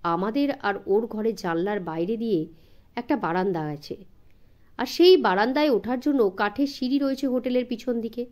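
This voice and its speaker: A woman narrating a suspense story from a script in an expressive way, as an audiobook reader. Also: on the high side, moderate pace.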